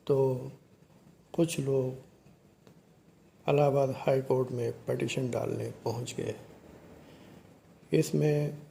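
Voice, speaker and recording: A man giving explanatory commentary to viewers in short, halting phrases, as the narrator of a video. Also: medium pitch, creaky, warm tone, a little hiss or hum.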